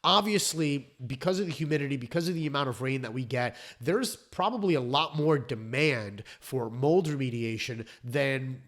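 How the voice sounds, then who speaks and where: A hoarse man who founded a restoration company, explaining his trade in a chatty vlog with fillers and restarts. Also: medium pitch, animated, normal speed, few pauses, a bit bright. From South Florida.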